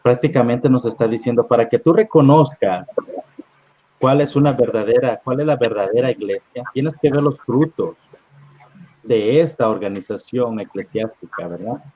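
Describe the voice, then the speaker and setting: A man leading a live-streamed Bible study, reading scripture aloud at an unhurried pace and often checking in with "¿verdad?". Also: mid-range voice. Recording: dull lo-fi online stream audio.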